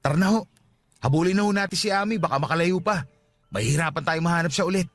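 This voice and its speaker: A man's voice: fairly high pitch, fast, faint music behind. An actor playing a patient, regretful young father with a Filipino accent, earnest and respectful, in a radio drama. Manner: soft-hearted, earnest, respectful.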